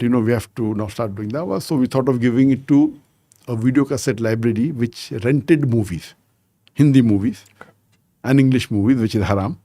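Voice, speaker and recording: A man in his sixties telling anecdotes as a podcast guest, measured and sprinkled with "you know". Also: medium pitch, warm tone, dry recording, clean studio sound. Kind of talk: conversational storytelling interview.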